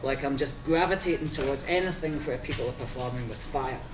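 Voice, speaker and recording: A man's voice: mid-range voice, a little fast, over music. A man from Scotland, a fire performer, talking casually and animatedly with lots of fillers in an interview. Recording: echoey room from far off with crowd noise.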